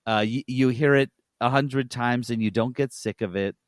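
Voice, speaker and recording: A man's voice: mid-range voice, moderate pace, no echo, clean studio sound. A man co-hosting a podcast, giving a casual, conversational recommendation and repeating points for emphasis. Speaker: podcast co-host. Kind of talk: casual review-style podcast chat.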